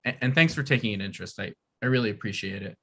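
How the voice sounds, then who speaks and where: A man's voice: lowish voice, racing, a bit bright. An actor at a table read playing an earnest, odd young man who rambles and stammers. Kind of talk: table read of a comedy script.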